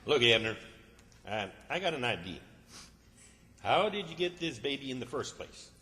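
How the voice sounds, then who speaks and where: A man's voice: medium pitch, sing-song, moderate pace, pushed and bright. A man playing a bossy, scheming country fellow with a rural Southern American accent, grumbling in an old-time radio comedy. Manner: grumbling, sarcastic, folksy. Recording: stage performance in reverberant hall.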